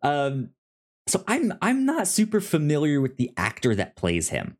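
Male podcast co-host, unhurried and stammering over words, enthusiastic, with big swings in pitch. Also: wildly swooping pitch. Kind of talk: casual film discussion chat.

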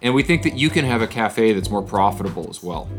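A man's voice: on the low side, expressive, quick pace, music in the background. A man in his forties co-hosting a podcast, enthusiastic and playful as he chats. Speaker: podcast co-host. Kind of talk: podcast chat.

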